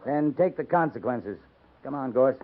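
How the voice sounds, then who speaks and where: A man's voice: mid-range voice, lively intonation, normal speed, lightly acted. An American man playing a stern, dryly sardonic US cavalry captain in a 1950s radio western, with clipped authority. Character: commanding male US cavalry captain, stern and dry. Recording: tinny, noisy 1950s radio recording.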